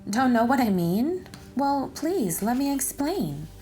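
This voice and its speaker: A woman narrating for children in an expressive, deliberate and instructive read-aloud style. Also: high voice, faint music behind. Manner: deliberate, instructive, addressing young listeners.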